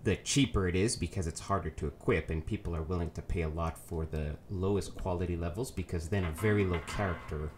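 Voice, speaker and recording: A man's voice: sits low, a little fast, hardly any pauses, slight background noise. A man with a cold and a nasal voice, giving rambling, casual commentary over his gameplay as a let's play host, with lots of ums and self-corrections.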